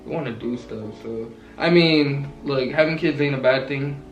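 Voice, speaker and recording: A man's voice: mid-range voice, normal speed. Laid-back nineteen-year-old guy, echoey room. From Southern California. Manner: laid-back slangy rambling. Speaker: vlogger. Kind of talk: casual couple Q&A chat. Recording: roomy echo, mic far off, music behind.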